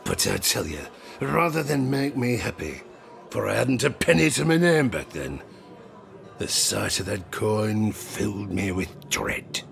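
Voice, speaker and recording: Gravelly old sailor spinning yarns. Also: male voice, mid-range voice, fairly bright tone, a little hiss or hum, heavily acted. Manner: theatrical, rustic dialect, drawn-out suspenseful phrasing with chuckles. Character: weathered old male sailor, superstitious ex-harpooner, yarn-spinning and haunted. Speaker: storyteller in an audio drama. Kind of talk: dramatic first-person tale-telling, audio drama.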